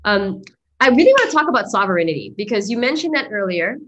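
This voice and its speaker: A woman's voice: medium pitch, big pitch swings, fast talker, fairly bright tone, slight background noise. A woman hosting an interview, musing aloud with enthusiasm and lots of fillers. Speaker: summit host. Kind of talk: interview hosting, spiritual talk.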